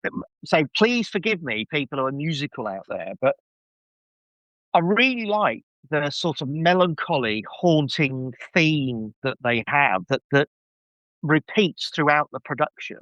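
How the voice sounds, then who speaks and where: A man's voice: a little high, sing-song, a little slow, fairly bright tone, dry recording, highs cut off. A middle-aged man chatting casually as a podcast guest, effusive and gushing, with a self-deprecating streak. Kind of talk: casual enthusiastic review chat.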